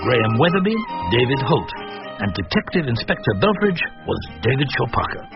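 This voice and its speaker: A man's voice: medium pitch, very animated, brisk, lo-fi sound. Male announcer, varied intonation, formal scripted delivery, music behind.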